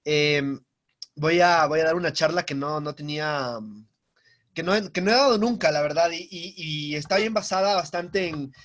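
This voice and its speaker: A man's voice: a little high, normal speed, a bit bright. A young man, giving a personal talk over a video call and often repeating himself as he restarts. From Ecuador. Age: young adult. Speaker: guest speaker.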